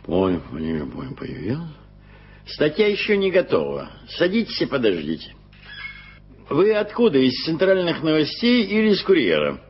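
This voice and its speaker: An older actor playing a fussy old journalist in a radio play, grumbling and muttering in quick exclamations. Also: male voice, a little high, wildly swooping pitch, husky, full character voice. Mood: grumbling, puzzled. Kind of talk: 1980s radio play acting. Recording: dull lo-fi old radio recording.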